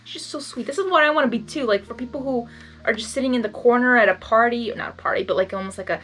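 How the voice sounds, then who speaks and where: A woman's voice: on the high side, fast talker, fairly bright tone. A woman doing a blind reading of a fan story, stumbling on words and adding gushing asides. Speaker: reader.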